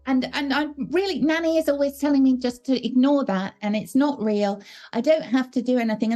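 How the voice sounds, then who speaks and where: A woman with a low voice, a voice actor chatting casually in an interview, thoughtful and self-deprecating. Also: warm tone. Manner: thoughtful, self-deprecating, laughs while talking. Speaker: voice actor guest.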